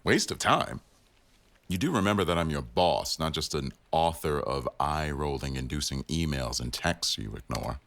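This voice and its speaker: A man's voice: a bass voice, expressive, moderate pace, vocal fry, fairly bright tone, heavily acted. A man playing a stern, teasing office boss in a boyfriend roleplay audio, speaking in a wry, formal way. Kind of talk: boyfriend ASMR roleplay acting.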